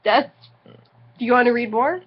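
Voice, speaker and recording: A woman's voice: fairly high pitch, normal speed, husky. A tipsy woman giving a theatrical, mock-dramatic reading of comic fan fiction, prone to giggling. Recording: slightly dull lo-fi home recording.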